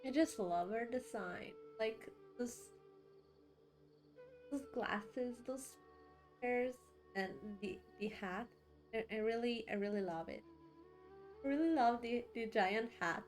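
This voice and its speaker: A woman giving casual live gameplay commentary, giggly and halting, often stumbling over and repeating her words. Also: on the high side, soft background music. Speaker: game streamer.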